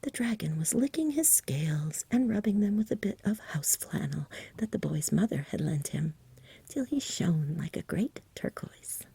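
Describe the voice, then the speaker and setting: A woman reading a story in a soft, breathy ASMR whisper, close to the microphone. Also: on the low side, lively intonation, moderate pace, no room sound.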